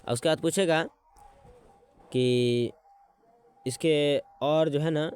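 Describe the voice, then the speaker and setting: A man teaching an exam-prep lesson, repeating words slowly as he writes them out and checking in with his students as he goes. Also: on the high side, a little mellow, smooth. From Bihar.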